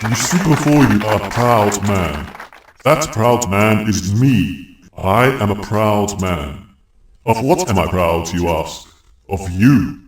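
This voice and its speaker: A man's voice: lowish voice, lively intonation, takes it slowly. A man playing a pompous, self-important university chancellor giving a theatrical speech in a comic audio drama. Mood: self-satisfied. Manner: pompous, declamatory. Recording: echoey, distant mic, crowd, faint noise.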